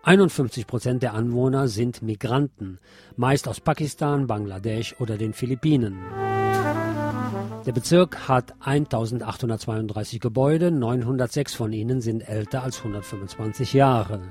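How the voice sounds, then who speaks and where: A man presenting a scripted news magazine on the radio as narrator, with a husky voice. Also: mid-range voice, moderate pace, over music.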